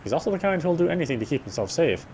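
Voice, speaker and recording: A man's voice: fairly high pitch, big pitch swings, racing. A man reading a horror visual novel aloud as playthrough host, brooding and occasionally stumbling over words. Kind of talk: dramatic read-aloud narration of a horror game. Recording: clear background noise.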